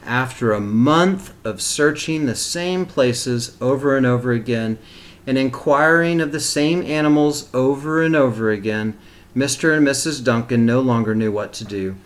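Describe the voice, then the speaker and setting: A man with a mid-pitched voice reads a storybook aloud as a teacher would for kindergarteners. He narrates the tale and steps out of it with simple explanatory asides, speaking directly to young listeners. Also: moderate pace. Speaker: teacher reading a picture book aloud to kindergarteners.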